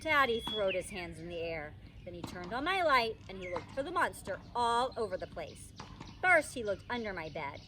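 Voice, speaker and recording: A woman teacher reading a children's storybook aloud in an expressive, childlike first-person narration. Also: medium pitch, normal speed. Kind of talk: animated storybook read-aloud. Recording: distant microphone, faint background noise.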